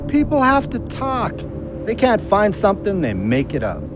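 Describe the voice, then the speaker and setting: A man's voice: high-pitched, huge pitch swings, normal speed, background noise. An actor playing a guilt-ridden, haunted drinking man in an audio drama, pleading in colloquial speech. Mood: uneasy, persuading. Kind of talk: emotional audio drama acting.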